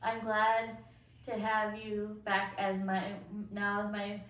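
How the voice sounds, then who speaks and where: A woman's voice: medium pitch, level tone, takes it slowly, a bit bright. A woman reading the part of a sweet, caring young patient at an amateur musical table read. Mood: happy, excited. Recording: distant mic in echoey room.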